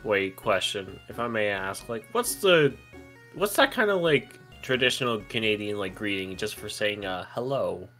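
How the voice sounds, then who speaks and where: Man, casual stream chat, bouncy swinging pitch, lots of fillers and giggles. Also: expressive, normal speed, fairly bright tone, faint music behind. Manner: rambling, filler-heavy, giggly.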